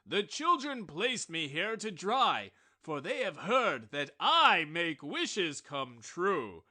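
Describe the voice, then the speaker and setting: A man reading a storybook aloud voices a boastful, self-important male wishbone who lectures the other kitchen objects in a loud, grand way. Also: high-pitched, sing-song, slow pace, pitched below the performer's own voice, heavily acted. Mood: pompous.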